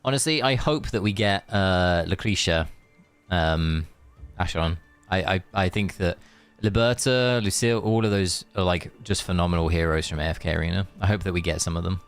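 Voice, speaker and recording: A man's voice: deep voice, normal speed, clean tone, soft background music. A young man streaming a game, giving loose, rambling commentary with lots of fillers. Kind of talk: casual gameplay commentary.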